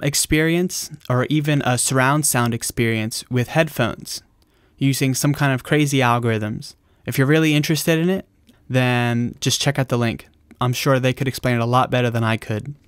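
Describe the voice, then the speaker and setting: A man's voice: mid-range voice, clear, resonant tone, no room sound, clean studio sound. A man presenting an explanatory video tutorial in a plain-spoken, informal instructional way.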